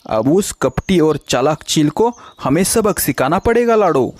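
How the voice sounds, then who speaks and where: A man voices a wise, loyal crow in a children's cartoon. He chides and instructs his friend in a fairly high male voice, repeating his phrases as he lays out plans. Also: lively intonation, unhurried, darkish tone, no echo. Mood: resolute, plotting. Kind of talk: children's cartoon story acting.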